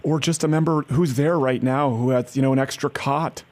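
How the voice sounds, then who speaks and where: A man's voice: mid-range voice, fairly even intonation, moderate pace, darkish tone. A man making a prank phone call, pushy and falsely sincere, often stammering and restarting his sentences. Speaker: prank caller.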